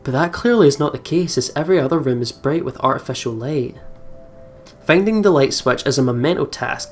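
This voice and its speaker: A woman let's player reading game narration aloud and commenting on it, expressive and giggly. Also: extremely low pitch, a little hiss or hum. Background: game music.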